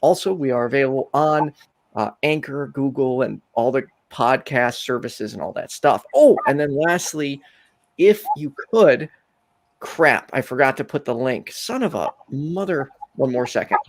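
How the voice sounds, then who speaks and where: A man's voice: mid-range voice, lively intonation, unhurried. A man hosting a call-in show, speaking casually and stumbling over his words. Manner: hesitant, filler-heavy thanks. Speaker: host.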